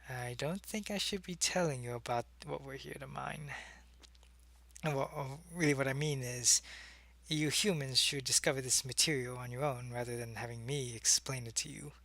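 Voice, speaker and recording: A man's voice: mid-range voice, normal speed, gravelly, bright, forceful tone. A man playing an earnest, secretive alien agent in an ASMR roleplay, talking to a human in a hesitant, explanatory way. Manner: hesitant, explanatory, intimate one-sided conversation. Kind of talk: ASMR roleplay, acted monologue to the listener.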